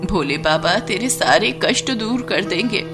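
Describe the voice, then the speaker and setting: A woman's voice: medium pitch, animated, unhurried, over music. A woman voicing a kindly, pious older neighbour aunt in a moral story, giving familiar advice. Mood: advising.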